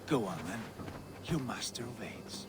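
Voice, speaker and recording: A man playing a proud, mystical Viking lord in a video game cutscene, speaking in a portentous, deliberate way. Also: mid-range voice, lively intonation, background noise, close to an everyday voice. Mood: cool, mocking.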